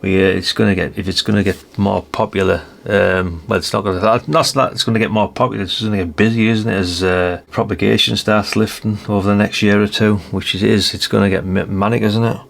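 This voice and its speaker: A man's voice: lowish voice, little pitch movement, creaky. A British man in his fifties chatting casually to viewers in a musing, rambling way, often tagging questions onto his remarks and correcting himself. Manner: musing, unhurried, frequent tag questions and self-corrections. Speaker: radio hobbyist presenting a video. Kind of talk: casual rambling vlog chat.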